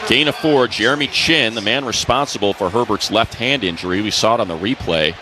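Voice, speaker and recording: Low-voiced American football play-by-play man. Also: brisk. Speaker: play-by-play announcer. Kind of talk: live American football radio commentary. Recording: noisy stadium booth broadcast. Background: stadium ambience.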